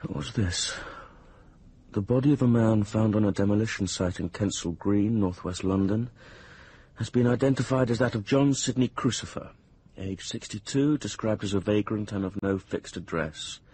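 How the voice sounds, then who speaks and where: A man's voice: lowish voice, moderate pace, mellow, slight background noise, natural voice. An actor playing an anxious, lovesick young man in a radio drama, earnest and confiding as he tells his own story. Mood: confiding, brooding. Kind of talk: radio drama acting and first-person narration.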